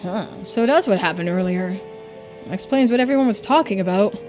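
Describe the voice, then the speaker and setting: A woman's voice: medium pitch, a little fast, over music, pitched below the performer's own voice, natural voice. A woman voicing a tough, blunt, protective young character in a visual novel read-through. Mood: puzzled.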